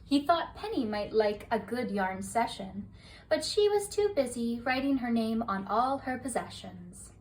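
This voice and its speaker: Bedtime reader, woman, high voice, soft rhyming lilt, distant mic with faint hiss. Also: animated, measured pace. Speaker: storybook reader. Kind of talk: bedtime story read-aloud.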